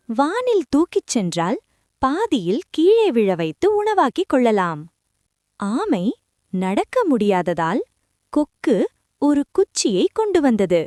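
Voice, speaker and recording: Slow, highly animated woman storyteller. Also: dry recording, clean studio sound. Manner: deliberate, short phrases. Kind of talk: scripted children's story narration.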